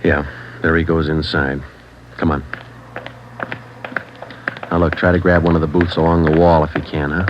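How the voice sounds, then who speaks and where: An actor playing a terse police sergeant in a 1940s radio drama, talking in a clipped, deadpan, matter-of-fact way. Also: male voice, rumbling low, normal speed, pauses often, background noise. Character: terse adult male police sergeant narrating his case. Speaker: police detective and narrator. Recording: dull lo-fi 1940s radio broadcast with loud music.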